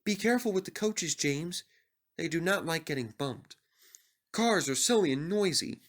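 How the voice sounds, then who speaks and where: A man's voice: on the high side, expressive, normal speed, pitched above the performer's own voice, natural voice. A man voicing a kindly, cautious, experienced steam engine in a storybook, talking in an earnest, advising way. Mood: cautioning.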